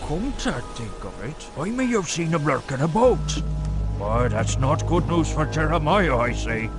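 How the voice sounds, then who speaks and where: A lively, folksy villager. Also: male voice, on the high side, measured pace. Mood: wary, helpful. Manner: folksy, rustic, rambling. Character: bereaved working-class coastal man, bitter and folksy. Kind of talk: video game voice acting. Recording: game audio under clear music.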